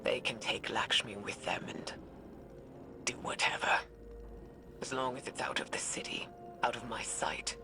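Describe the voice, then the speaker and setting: An actress playing a weary, guilt-ridden old woman scientist recording a log in a frail, breathy voice for a video game. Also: rumbling low, whispered, full character voice. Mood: grim, resolute. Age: older. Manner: slow, weary, solemn. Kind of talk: dramatic recorded log monologue. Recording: music behind the voice.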